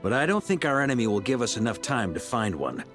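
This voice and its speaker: An actor voicing a confident middle-aged knight commander who speaks calmly and decisively. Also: male voice, medium pitch, fast talker, soft background music. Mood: assured. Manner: calm, assured, commanding.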